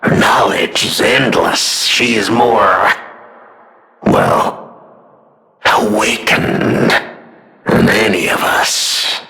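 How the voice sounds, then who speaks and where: Mad male puppet villain, theatrical, mocking, extremely animated pitch, very drawn-out delivery, game character. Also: creaky, full character voice, poor audio. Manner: theatrical, gleefully unhinged, swinging between hushed and shouted. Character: manic, mocking, murderous male puppet, self-styled king of riddles.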